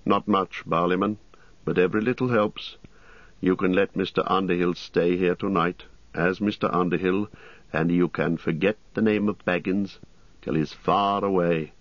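A man voicing a stern, grave male ranger who speaks bluntly and deliberately in a storybook reading. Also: deep voice, fairly even intonation, no room sound, dull audio, lightly acted. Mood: grave, quiet.

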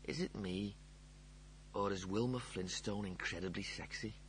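A man's voice: on the low side, a little slow, a bit bright, slight background noise, poor audio. A man voicing a grumpy, blunt and bewildered young man for an audiobook. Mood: casual, confiding. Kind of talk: audiobook character voice.

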